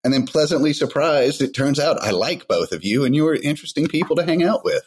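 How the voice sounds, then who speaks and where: A man's voice: on the high side, lively intonation, fast, slightly rough. A man, co-hosting a podcast in a laid-back, crude, joking way as he asks his guest questions. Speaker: podcast co-host asking questions. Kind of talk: casual podcast chat.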